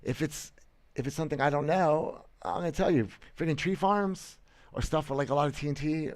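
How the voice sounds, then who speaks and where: A man's voice: fairly high pitch, a little fast, no room sound. A man in his thirties streaming, talking bluntly and boastfully with many restarts and stammers, seated in a small room on a headset mic. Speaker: gaming streamer. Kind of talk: casual livestream commentary. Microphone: headset, webcam framing.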